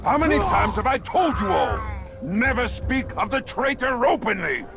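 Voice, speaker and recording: A brutal orc warlord in a fantasy game. He shouts threats in a high, strained pitch, bullying and furious. Music plays clearly behind him. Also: very animated, normal speed, full character voice. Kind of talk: cinematic fantasy game creature acting.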